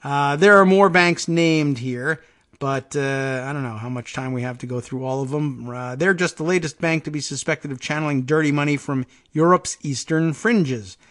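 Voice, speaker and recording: A man hosting a political talk show, reading news stories aloud with wry asides, halting on hard names and restarting often. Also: a little high, a bit bright. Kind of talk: casual news commentary, reading headlines aloud.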